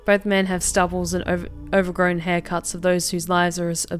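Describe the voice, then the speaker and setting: A young woman streamer reading a visual novel aloud, with expressive bursts of excited commentary. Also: lowish voice, fairly quick, faint music behind. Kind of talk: game narration with live reactions.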